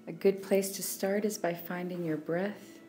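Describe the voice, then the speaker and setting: Low, mellow woman guiding a meditation. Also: faint music behind. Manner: calm, unhurried, with long pauses. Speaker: meditation teacher. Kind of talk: guided meditation.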